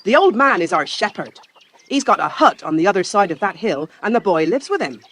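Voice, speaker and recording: Kindly farm wife in an audio play, folksy storyteller, really swoopy intonation. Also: female voice, medium pitch, big pitch swings, a little fast, fairly bright tone, a little hiss or hum. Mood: matter-of-fact, pitying. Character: kindly Cornish farmer's wife telling old tales. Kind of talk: audiobook drama acting.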